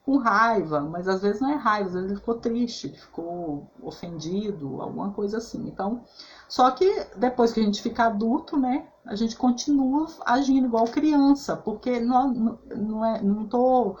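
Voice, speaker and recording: A woman's voice: a little high, normal speed, darkish tone, highs cut off. Chatty woman therapist explaining. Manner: chatty, frequent "né" and "tá" tags, restarts. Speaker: holistic therapist. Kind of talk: casual explanatory talk.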